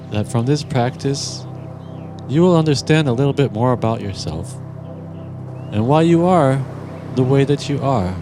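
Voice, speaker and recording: Male meditation guide: unhurried, gentle and deliberate, leaving long pauses, set over prominent music. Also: medium pitch.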